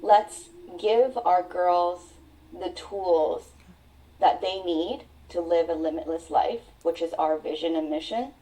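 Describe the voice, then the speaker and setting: A woman's voice: fairly low pitch, warm tone. A woman whose first language is Mandarin, telling her personal story as a podcast guest in an earnest, reflective way. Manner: earnest, reflective, drawn-out phrasing. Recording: voice sounds distant from the mic.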